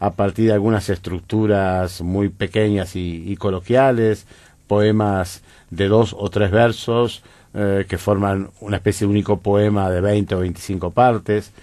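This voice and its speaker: Soft-spoken Uruguayan man, low dry voice. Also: little pitch movement, measured pace, no echo. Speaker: guest poet. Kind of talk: radio interview conversation. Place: radio studio.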